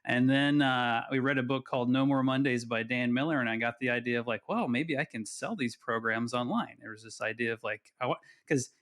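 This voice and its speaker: A man's voice: mid-range voice, fairly quick, few pauses, a bit bright. A man in his forties, a podcast guest telling his story in a chatty, self-deprecating way. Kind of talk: casual podcast interview.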